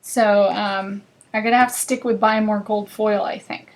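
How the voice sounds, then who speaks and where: A woman's voice: medium pitch, fairly even intonation, normal speed. Chatty woman hosting a craft class. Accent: northern US. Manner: chatty and bubbly, chatting with viewers while working. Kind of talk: live crafting demonstration.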